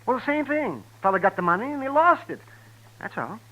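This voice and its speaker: High-pitched, folksy frontier doctor. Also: male voice, very animated, fairly quick, sharp tone, full character voice, low-quality recording. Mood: evasive. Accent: American. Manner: rambling, hesitant, folksy. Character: folksy, evasive frontier town doctor, excitable and chuckling. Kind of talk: 1950s radio western character acting. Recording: vintage 1950s radio recording.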